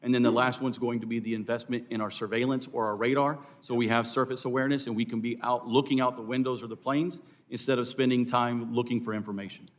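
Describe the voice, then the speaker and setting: A man's voice: medium pitch, little pitch movement, fast. Man giving formal, emphatic testimony. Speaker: air traffic controllers' representative, hearing witness. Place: hearing room.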